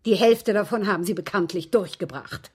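An actress playing a genteel, aggrieved widow in a German radio drama, speaking in precise, formal, dramatic phrases with a husky voice. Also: female voice, mid-range voice, moderate pace. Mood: matter-of-fact. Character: genteel, aggrieved widow recounting her captivity.

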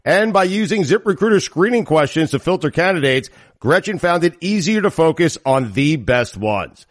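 A man hosting a podcast from his office, laughing a lot and reading ads briskly. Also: a little high, animated, dry recording, clean studio sound. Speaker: podcast host. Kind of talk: casual podcast banter.

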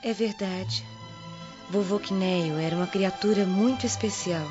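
Radio drama niece, female actor: young, Brazilian, gentle, sorrowful, mid-pitched, music strong behind. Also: normal speed, dull audio, natural voice. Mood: wistful. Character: tender, sorrowful young Roman niece missing her sister.

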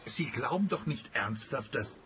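A man's voice: medium pitch, lively intonation, unhurried, a bit bright, a little hiss or hum. A man playing a flustered, grumbling older innkeeper in a radio play, exclamatory and put-upon. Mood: defensive, put-upon.

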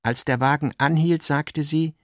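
A man giving a scripted literary narration, reading out notes and drafts in deliberate fragments with pauses. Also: a little high, steady tone, no room sound, studio quality. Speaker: radio drama narrator.

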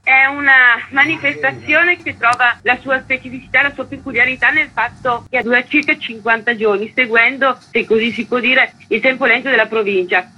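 A woman's voice: a little high, sharp tone, husky, dull audio. A woman giving formal, articulate, elaborately phrased answers as a festival director in a radio interview.

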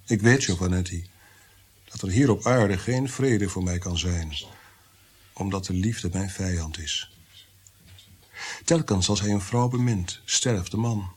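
An actor in a radio play playing a tormented, bitter, God-fearing prince-composer, brooding and theatrical, sometimes sinking to whispers. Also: male voice, on the low side, breathy edge, full character voice.

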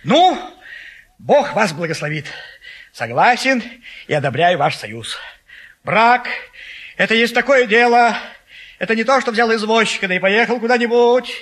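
An actor playing a bossy, exasperated meddling matchmaker with a blustering, scolding, emphatic delivery in a theatrical stage comedy. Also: male voice, high-pitched, expressive, normal speed, pauses often, a bit bright. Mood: bossy, pompous.

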